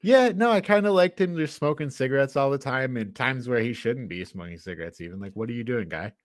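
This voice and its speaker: Male podcast co-host for casual review banter; blunt, exaggerated complainer, mid-pitched, dry sound. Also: expressive, fairly quick, dry recording. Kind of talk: casual film review chat.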